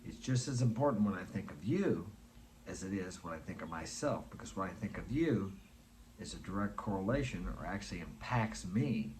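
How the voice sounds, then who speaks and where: A man's voice: low voice, animated, moderate pace, a little mellow, a little hiss or hum. A man in his sixties giving an earnest, preachy motivational talk, repeating his points for emphasis. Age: 60s. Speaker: motivational speaker. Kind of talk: motivational monologue.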